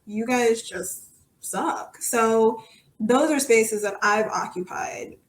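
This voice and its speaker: A woman's voice: fairly high pitch, slow pace. Opinionated woman in an echoey room. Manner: opinionated, emphatic. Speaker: podcast guest, soccer supporter. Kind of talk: candid conversational interview answers.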